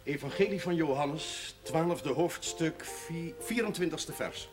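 An actor playing a pious, dignified parish priest who quotes scripture, in a television drama. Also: male voice, fairly high pitch, takes it slowly, music in the background. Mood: dignified, dry. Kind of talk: television period drama acting.

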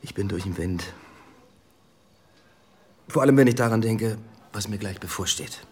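A deep male voice, an actor as a brooding police detective in a radio play. He sounds bitter, troubled and weighed down by guilt. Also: normal speed, a little hiss or hum, natural voice. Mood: weary. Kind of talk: German radio drama acting.

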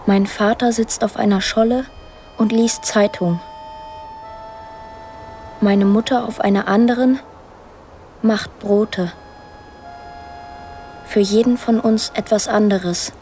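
An actress voicing a lonely, imaginative eight-year-old girl in a radio drama monologue, plain, deliberate and earnest. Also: mid-range voice, steady tone, darkish tone, a little hiss or hum, natural voice. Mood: wistful, matter-of-fact.

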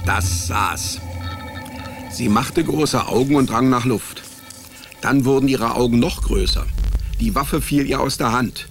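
A man's voice: mid-range voice, a little slow, long pauses, a bit bright, soft background music. A man playing a wry private detective who narrates his own case in a radio crime play with dry irony. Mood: dryly amused. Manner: dry, ironic.

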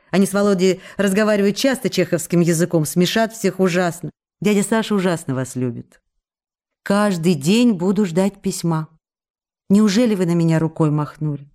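A woman's voice: lowish voice, a little mellow, lightly acted. A woman playing an affectionate, restless actress who reads her love letters aloud in a coquettish, pleading, emotive way for a radio play. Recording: radio broadcast with music behind. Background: music.

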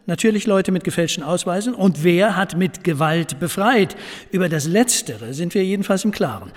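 An actor playing a sharp, indignant politician arguing pointedly in a radio play. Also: male voice, high-pitched, animated, normal speed. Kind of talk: German radio play acting. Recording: long hall reverb.

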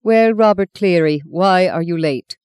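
A narrator voicing a stern, cold elderly nun with a faded Irish accent who barks out slow, clipped reproaches. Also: female voice, lowish voice, darkish tone, clear, resonant tone, no room sound, pitched above the performer's own voice, lightly acted. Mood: coldly stern. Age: 70s. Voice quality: dry, clipped. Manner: barking, slow, deliberate.

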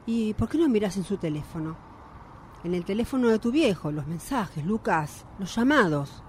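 A woman's voice: mid-range voice, animated, normal speed, darkish tone, slight background noise, close to an everyday voice. A woman with an Argentine accent playing a concerned, practical confidante in a radio drama, questioning and cautioning. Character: concerned, practical female confidante of a bereaved son. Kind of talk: Spanish-language radio drama acting.

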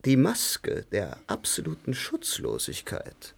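A man's voice: fairly low pitch, huge pitch swings, slow pace, creaky, husky, heavily acted. An actor playing a vain, declamatory male theatre director in his mid-thirties, in a radio play. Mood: lofty. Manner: lofty, declamatory.

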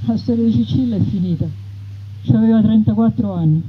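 A woman's voice: on the high side, fairly quick, husky. A woman voicing a plainspoken, bitter peasant woman bandit, telling her story in an earthy, emphatic way. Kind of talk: theatrical first-person storytelling. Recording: dull radio sound with background noise.

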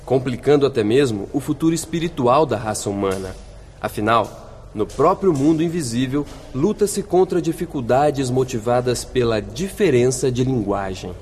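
A man plays a young spirit guide in a radio drama, idealistic and encouraging. His Brazilian voice sits at a medium pitch, with formal phrasing and faint background ambience. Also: expressive, normal speed, a little mellow, slight background noise, close to an everyday voice. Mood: explanatory.

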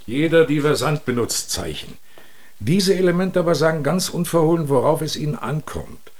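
An actor playing a brusque, earnest secret police superior in a radio drama, speaking like an officious bureaucrat. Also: male voice, fairly high pitch, lively intonation, normal speed, close to an everyday voice.

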